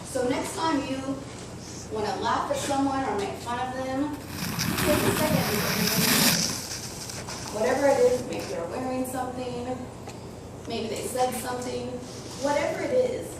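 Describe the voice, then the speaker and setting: A woman with a raspy voice speaks earnestly to schoolchildren in a slightly high register. The sound is distant and boomy, caught in a hard, echoing school hall with steady noise underneath. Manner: earnest, slow and deliberate with children. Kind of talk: personal talk on choices. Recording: echoey room, distant mic, noisy.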